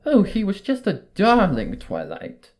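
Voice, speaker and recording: Brief: narrator acting out a genteel female pony seamstress, high and swooping, gushing and theatrical, storybook reading over music. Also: huge pitch swings, unhurried, darkish tone, heavily acted. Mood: gushing, eerily cheerful. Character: refined, overly dramatic female pony seamstress.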